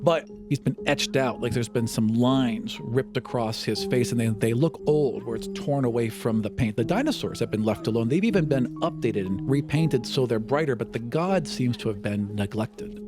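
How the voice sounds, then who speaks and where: A man's voice: mid-range voice, normal speed, hardly any pauses, music in the background. A man narrating a tabletop fantasy game as game master, in a conversational, vivid descriptive style.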